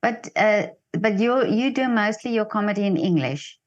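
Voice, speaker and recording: A woman interviewer asking halting questions full of fillers. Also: mid-range voice, lively intonation, highs cut off.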